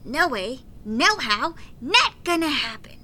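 A woman playing a brisk, motherly weapons dealer in an audio drama, with a teasing, no-nonsense manner. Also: sits high, big pitch swings, sharp tone, heavily acted. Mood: exasperated, firm.

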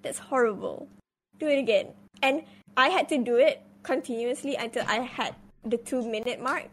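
Woman, young adult, high-pitched, casual storyteller, swears freely. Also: moderate pace. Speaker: guest on a live stream.

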